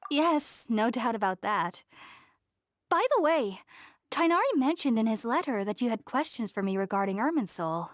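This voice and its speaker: A woman playing a gentle, earnest young woman scholar in a video game, speaking in a polite, measured, reflective way. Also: fairly high pitch, very animated, no room sound. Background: music.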